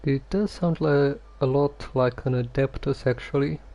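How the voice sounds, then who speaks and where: A man giving casual livestream commentary on a game, halting and musing to himself. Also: mid-range voice, dark tone. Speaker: game streamer.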